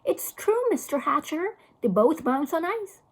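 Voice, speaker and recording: A woman's voice: very high voice, big pitch swings, a little slow, voice pushed up, exaggerated voice. A woman voicing a cheeky, gleefully gruesome boy who is an enthusiastic family friend in a children's storybook.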